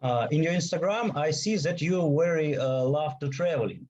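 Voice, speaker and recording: Man, higher voice, slow halting questions, frequent fillers, video call. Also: expressive, darkish tone, muffled recording. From Ukraine. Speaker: host. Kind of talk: interview questions.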